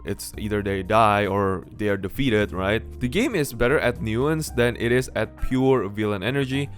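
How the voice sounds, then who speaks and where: A man's voice: lowish voice, moderate pace. A man giving opinionated video game review commentary in an informal way, often checking in with tag questions. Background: background music with guitar.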